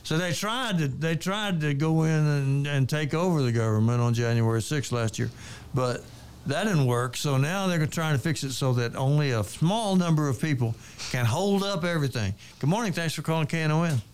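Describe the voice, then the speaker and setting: An American man hosts a political radio talk show in a smooth, clear voice that sits a little high. His delivery is conversational, measured and deliberate. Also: expressive. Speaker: radio host. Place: radio studio.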